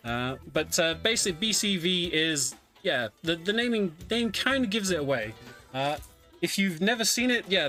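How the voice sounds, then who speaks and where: A man's voice: on the high side, pushed and bright. A man giving casual, chatty live commentary as he plays through a game in a speedrun. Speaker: speedrunner commentating his run. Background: video game music.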